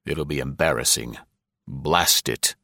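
A man's voice: very deep voice, a little slow, vocal fry, pushed and bright, no echo, clean studio sound, voice pushed down, full character voice. A man voicing a blunt, impatient government chief who growls his orders, in a story reading. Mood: gruff. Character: gruff blustering male government chief.

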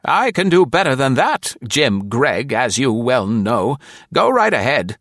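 Man putting on a shrill woman's voice, acid, indignant, dry close sound. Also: big pitch swings, unhurried, no echo, heavily acted. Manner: sharp, acid, sulky.

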